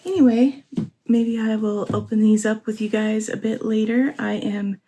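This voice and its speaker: Woman, hoarse, chatty, excitable, faint background hiss. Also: a little high, moderate pace. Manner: chatty, excitable, laughs often. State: hoarse, sore voice. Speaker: vlogger. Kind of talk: casual vlog chat. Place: home, indoors.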